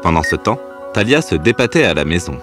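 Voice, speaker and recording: Deep, lively male storyteller. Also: brisk, soft background music. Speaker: narrator. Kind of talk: scripted children's story narration.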